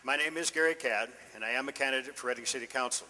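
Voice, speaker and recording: A man's voice: medium pitch, quick pace, pushed and bright. An older man with an American accent giving an informal campaign speech as a council candidate in a meeting room. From Northern California, United States. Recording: echoey meeting room, long reverb.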